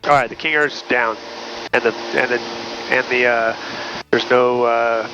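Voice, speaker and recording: A man's voice: mid-range voice, steady tone, a little slow. Muffled American man chatting in a noisy cockpit. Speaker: pilot. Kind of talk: casual cockpit chat. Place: small aircraft cockpit in flight. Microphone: aviation headset. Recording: dull intercom audio, loud aircraft drone.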